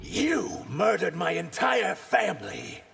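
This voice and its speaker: A man voicing an earnest, bitter reptilian warrior in video game fight banter, with a raspy, gravelly voice. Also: high-pitched, wildly swooping pitch, slow, over loud music, exaggerated voice. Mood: bitter, vengeful.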